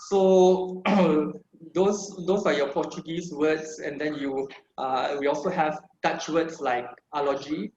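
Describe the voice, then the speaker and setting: A man's voice: fairly high pitch, fairly even intonation, slow, few pauses, a little mellow, roomy sound, highs cut off, slight background noise. A man with a Singaporean English accent giving an informal online lecture, chatty and full of "yeah" and "sort of, like" fillers. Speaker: guest speaker on a heritage language. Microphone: video call microphone.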